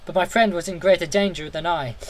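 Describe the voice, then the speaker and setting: A man with a slightly high voice reads a gothic horror tale aloud. His delivery is theatrical and intense, with the occasional stumble over the words. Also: fairly quick. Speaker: audiobook reader. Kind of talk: dramatic first-person horror story narration.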